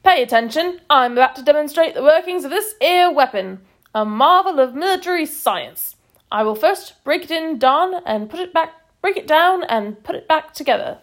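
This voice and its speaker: A woman voicing a gruff, working-class army corporal with a loud drill-sergeant manner and a cockney accent. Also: high-pitched, animated, normal speed, pitched above the performer's own voice. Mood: brisk. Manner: barking, clipped, working-class.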